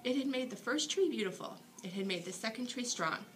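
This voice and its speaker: Animated woman reading a bedtime story. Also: on the high side, brisk, a little hiss or hum. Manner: expressive, dramatic storytelling for children. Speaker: storybook reader. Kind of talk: bedtime story read aloud.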